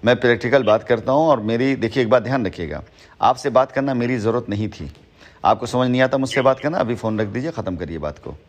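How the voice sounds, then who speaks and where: A man talks on a recorded phone call. His voice sits at a middle pitch. He is assertive and long-winded, often repeating himself to press his point. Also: lively intonation, fast talker. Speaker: company head on a phone call with a former associate. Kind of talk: phone conversation in Hindi mixed with English words.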